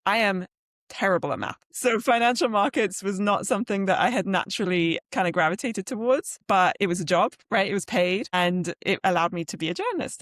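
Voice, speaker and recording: A woman's voice: mid-range voice, dry recording, clean studio sound. A woman in her thirties, raised in Switzerland with a British-tinged European accent, chatting casually as a guest and restarting her sentences often. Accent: British-tinged European English. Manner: chatty, self-deprecating, many fillers and restarts. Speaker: journalist and author, guest. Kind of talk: casual interview conversation.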